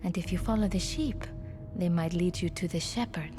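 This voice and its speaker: An actress playing a grave, determined investigator in a video game monologue, earnest, deliberate and hushed. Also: female voice, fairly low pitch, over music, lightly acted. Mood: hushed, grim. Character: grave, determined woman investigator wary of betrayal.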